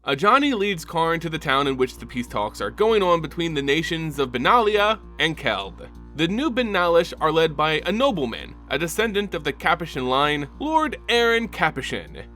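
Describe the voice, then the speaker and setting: A man delivers dramatic scripted story narration in a bright, forceful voice. His pitch sits a little high and swings widely, with deliberate, theatrical phrasing. Guitar music plays underneath. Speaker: channel host and narrator.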